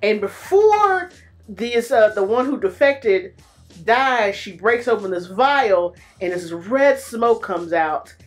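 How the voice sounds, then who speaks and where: A woman recapping a movie in a casual, animated way, full of slang and playful exclamations. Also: mid-range voice, slow pace. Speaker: movie reviewer.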